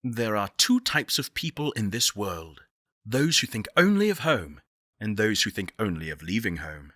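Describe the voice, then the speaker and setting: A man playing a solemn, visionary director of a space exodus faction in a video game, speaking in measured, eloquent oratory with dramatic pauses. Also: on the low side, sing-song, a bit bright, dry recording, clean studio sound. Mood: grave, musing. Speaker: faction leader.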